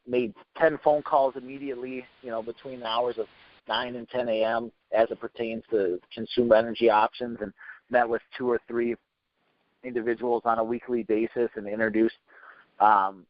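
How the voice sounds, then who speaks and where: A man hosting a business training call, giving an informal motivational talk with halting, repetitive, hesitant phrasing. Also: medium pitch, no echo. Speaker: sales team leader hosting a training conference call. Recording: muffled conference phone call.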